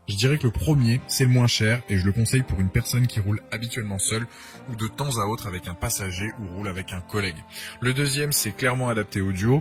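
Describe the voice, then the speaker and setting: A man's voice: lowish voice, darkish tone. A man presenting a scripted product review as narration. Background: background music.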